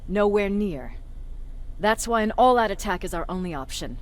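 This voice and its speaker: A woman's voice: medium pitch, animated, normal speed, natural voice. A woman acting a video game role as a tough, blunt resistance commander with an authoritative manner. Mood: grim, commanding. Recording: faint background noise.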